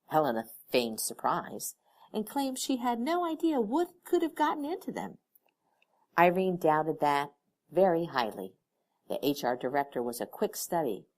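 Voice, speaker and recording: Low, lively woman reading a story. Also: normal speed. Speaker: audiobook reader. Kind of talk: scripted narration of fan fiction, with character dialogue.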